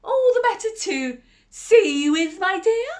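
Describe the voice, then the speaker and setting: A woman's voice: extremely high pitch, big pitch swings, a little slow, darkish tone, voice pushed up, full character voice. A woman voicing a snarling, greedy big bad wolf in a children's storybook reading, growling and gloating. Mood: sly growling.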